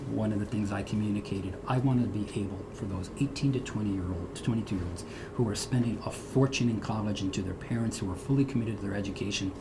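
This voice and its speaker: A man's voice: on the low side, noisy background. A middle-aged man, a guest in an interview, who grew up speaking Portuguese at home, talking earnestly and restarting his words. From United States. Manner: earnest, repeats words when starting. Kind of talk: interview conversation.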